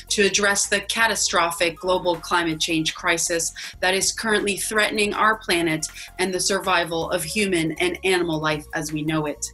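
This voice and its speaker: A polished news anchor. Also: female voice, fairly low pitch, poor audio. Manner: bright, polished anchor delivery. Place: plain room, webcam.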